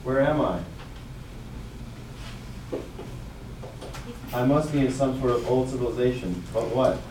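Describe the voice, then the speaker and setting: A man's voice: mid-range voice, brisk, lightly acted. A man playing a curious, easily confused schoolboy in a scripted puppet show. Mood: bewildered. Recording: very echoey room, distant mic, clear noise.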